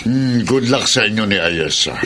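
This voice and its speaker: A man's voice: on the low side, lively intonation, moderate pace, lightly acted. A man acting in a Filipino radio drama as a kindly older father advising his grown son. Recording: clear noise, ambience with clinking dishes.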